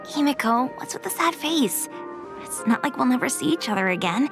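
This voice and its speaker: A woman voicing an eager, warm-hearted young student in a video game acting style. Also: sits high, animated, moderate pace. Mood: comforting. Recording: music under the voice.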